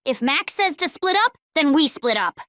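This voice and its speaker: A woman's voice: sits high, lively intonation, normal speed, a bit bright, dry recording, studio quality. A woman voicing a feisty, combative young girl with a bossy manner in a cartoon-style comic dub. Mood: indignant, bossy. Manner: bossy, confrontational. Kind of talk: comic dub character acting.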